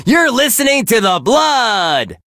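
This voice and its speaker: Chipper video game radio DJ, swooping pitch, gushing, folksy, wholesome, close dry sound. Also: male voice, big pitch swings, slow pace, bright, forceful tone, dry recording, exaggerated voice. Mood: upbeat, chipper. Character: relentlessly cheerful, wholesome male rock radio DJ. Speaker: radio DJ host.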